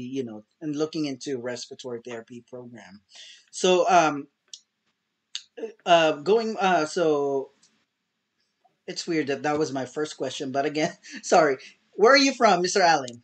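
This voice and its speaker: Higher-pitched man hosting a show. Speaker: show host. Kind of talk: semi-formal online show hosting.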